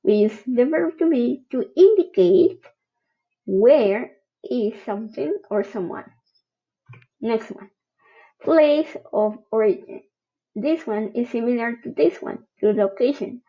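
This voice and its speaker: A woman teaching a grammar lesson, slowly explaining each example and checking in with the learner. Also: fairly high pitch, animated, breathy edge, muffled recording. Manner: patient, spells out each example. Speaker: English teacher. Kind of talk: slow recorded grammar lesson.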